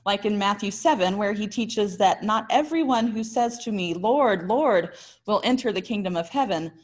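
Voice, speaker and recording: An American woman in her thirties giving a prepared but conversational sermon as a pastor, with expressive, articulate storytelling. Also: medium pitch, moderate pace. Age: 30s. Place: small indoor room.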